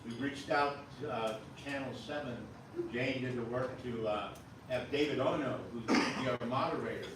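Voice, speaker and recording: A man's voice: medium pitch, moderate pace. A man casually presenting upcoming events to an audience, hesitating with frequent uhs. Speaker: board member presenting events. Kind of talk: casual program announcements. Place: hotel banquet room at a luncheon. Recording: echoey room, speaker far from mic, noisy.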